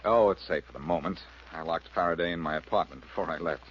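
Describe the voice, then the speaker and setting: An actor playing a cool, quick-witted reformed crook turned sleuth in a 1940s radio drama, wry and glib. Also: male voice, sits low, close to an everyday voice. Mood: confident. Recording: muffled, hissy old radio recording.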